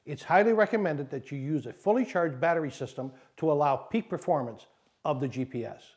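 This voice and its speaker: A man reading scripted instructional narration in a measured, didactic way, as an instructor. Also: on the high side, animated, a little mellow.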